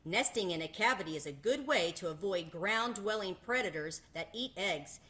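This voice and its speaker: A woman with a bright, forward voice reads aloud from a children's picture book. She sounds like a teacher reading to a class. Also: on the low side, expressive, normal speed. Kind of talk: children's storybook read-aloud.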